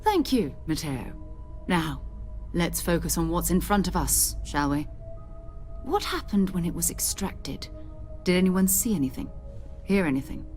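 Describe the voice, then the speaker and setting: A poised leader of explorers in a video game, brisk and commanding. Her voice is on the low side, with faint music behind it. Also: very animated, a little mellow. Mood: commanding, probing. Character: composed, authoritative woman leading an explorers' society. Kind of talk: scripted video game acting.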